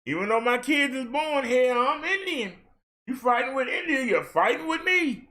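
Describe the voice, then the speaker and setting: A man vlogging a heated rant in casual colloquial speech, firing off rhetorical questions and at times shouting. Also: very high voice, animated, moderate pace. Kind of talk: ranting social commentary.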